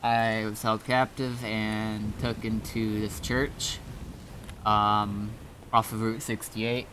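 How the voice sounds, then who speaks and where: A man's voice: on the low side, little pitch movement, slow pace, a bit bright, a little hiss or hum. A man chatting casually over gameplay in a hesitant, rambling way, as a streamer would. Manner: rambling, hesitant, repeats himself. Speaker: streamer and server admin. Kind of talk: casual gameplay commentary and chat.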